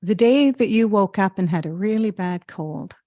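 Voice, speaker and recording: Dark-voiced woman hosting a podcast. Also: mid-range voice, lively intonation, no echo, studio quality. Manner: halting, full of ums and uhs and restarts. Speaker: podcast host. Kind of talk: interview conversation.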